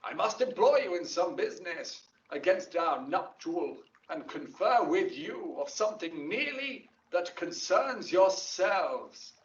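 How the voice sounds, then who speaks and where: A man's voice: high-pitched, sing-song, measured pace, few pauses. A man playing a courtly, firm ruling duke in a Shakespearean audio drama, speaking in a stately, commanding way. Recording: distant, roomy, dull playback.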